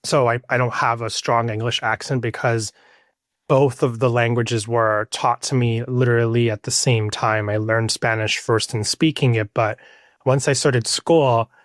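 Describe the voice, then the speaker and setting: A man's voice: mid-range voice, steady tone, measured pace, no echo, studio quality. A man hosting a conversational podcast, reflective and wordy as he frames his thoughts and questions. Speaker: podcast host.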